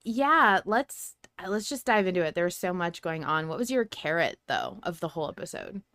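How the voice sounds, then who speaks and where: A woman's voice: on the low side, big pitch swings, moderate pace, a bit bright, no echo, clean studio sound. A woman hosting a podcast, chatting casually as a fan. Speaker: podcast host.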